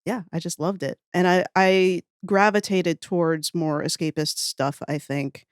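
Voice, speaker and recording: A woman's voice: on the low side, fairly even intonation, a little slow, clean tone, no room sound, studio quality. A woman chatting casually as a podcast guest, reminiscing in an enthusiastic, rambling, self-deprecating way. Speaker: podcast guest, audio fiction writer.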